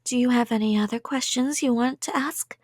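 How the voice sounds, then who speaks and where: A woman's voice: fairly high pitch, fairly quick, a little mellow, no echo, clean studio sound, lightly acted. A woman playing an obsessed young yandere kidnapper in an intimate ASMR roleplay, rambling coyly and sweetly with menace. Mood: teasing. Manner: rambling, giggly, coy, threatening in a sweet tone.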